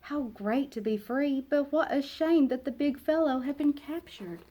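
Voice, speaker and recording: A woman narrating a children's picture book aloud in an expressive, dramatic way, with emphatic pauses and hushed phrase endings. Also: high-pitched. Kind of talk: expressive storybook read-aloud.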